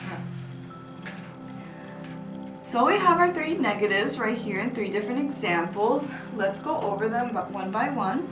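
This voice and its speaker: A woman's voice: on the high side, animated, measured pace, pauses often, echoey room, music in the background. A young woman teaching a language lesson, patiently explaining examples one by one at a whiteboard. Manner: clear, patient explaining, often pointing at a whiteboard. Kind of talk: scripted lesson narration.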